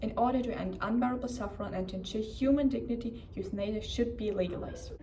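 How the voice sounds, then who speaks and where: A young woman from Germany, a law student, clearly reading out definitions in a prepared presentation. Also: fairly high pitch, fast talker, warm tone. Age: young adult. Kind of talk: read-aloud presentation. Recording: distant mic with faint noise.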